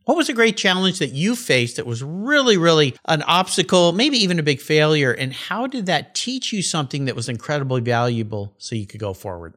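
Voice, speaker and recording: A man's voice: fairly high pitch, a little fast, hardly any pauses. A man hosting a car podcast, interviewing a guest in an upbeat, enthusiastic way. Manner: upbeat, enthusiastic, lively delivery. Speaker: podcast host. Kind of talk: interview and read sponsor ads.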